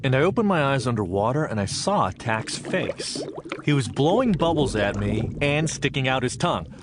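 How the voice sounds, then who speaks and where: An earnest American man, played by an actor, recalls his childhood in a theatrical monologue. His mid-range voice moves animatedly through vivid details and measured pauses, over some background noise. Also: mid-range voice, sing-song, lightly acted. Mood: reflective. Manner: vivid storytelling with deliberate pauses. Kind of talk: dramatic monologue in an audio theatre play.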